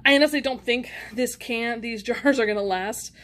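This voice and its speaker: A woman's voice: a little high, normal speed, fairly bright tone. A woman giving a casual, unscripted show-and-tell as a home vlogger, rambling and chatty with self-deprecating asides. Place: indoors at home. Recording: clear background noise, handling clicks.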